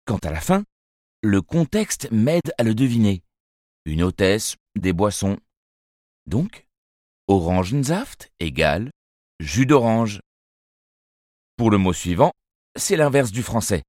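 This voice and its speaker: A man reading a children's novel aloud as first-person narrator, in a measured, expressive storytelling manner. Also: lowish voice, dry recording, studio quality. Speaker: audiobook narrator.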